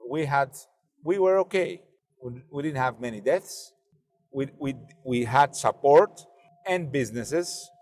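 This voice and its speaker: A Greek-accented man in his forties talks through his answers slowly and carefully, stopping now and then to find the right English word. His voice sits at an ordinary middle pitch and trails off into a long, lingering reverb. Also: lively intonation, a little mellow. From Greece, Athens. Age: 40s. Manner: measured, searching for words in a second language. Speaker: driver and interview guest. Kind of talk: casual conversation. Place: inside a car.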